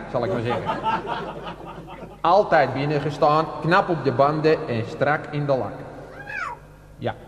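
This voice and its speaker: A man's voice: a little high, a little hiss or hum. A man acting an older, chatty used-car dealer in a radio play, praying with salesman's patter and self-excusing asides in an echoing hall. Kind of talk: comic radio play prayer monologue. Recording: echoey hall with long reverb.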